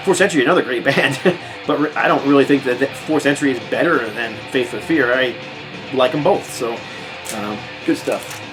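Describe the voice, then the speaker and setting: A man's voice: mid-range voice, normal speed, poor audio. Rambling American man over thrash music. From United States. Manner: rambling, relaxed, many fillers and restarts. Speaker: metal record collector vlogger. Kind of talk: casual record show-and-tell.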